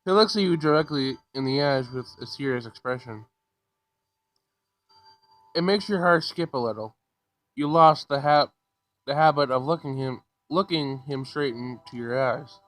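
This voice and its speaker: A man's voice: medium pitch, normal speed, long pauses, fairly bright tone, low-quality recording. A man hosting a gaming video, casually reading a visual novel aloud and reacting to it.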